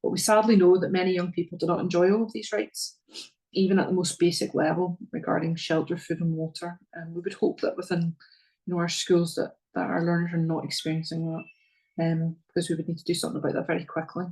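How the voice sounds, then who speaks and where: A middle-aged woman with a Northern Irish accent presents to parents in a level, even tone, with a gravelly edge under her voice. She talks earnestly, stopping for ums and doubling words as she moves through her slides. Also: fairly low pitch, quick pace, poor audio. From Northern Ireland.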